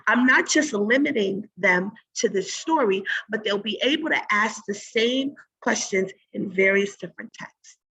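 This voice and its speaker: A woman teacher giving a read-aloud lesson in an instructive way, pausing to think aloud and pose guiding questions. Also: mid-range voice, creaky, fairly bright tone, husky, low-quality recording.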